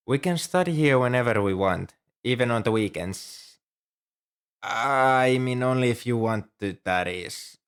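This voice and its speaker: A man's voice: on the low side, fairly bright tone, smooth. A man performing an ASMR roleplay as a shy, earnest young student librarian, speaking in a hesitant, helpful and apologetic way. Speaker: amateur audio roleplay performer. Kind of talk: ASMR roleplay, scripted one-sided dialogue.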